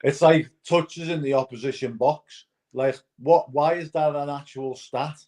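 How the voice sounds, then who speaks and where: A man's voice: on the high side, steady tone, measured pace, a little mellow. A man chatting casually as a fan panelist after a match, using many fillers and often checking that he is understood. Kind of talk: post-match reaction chat.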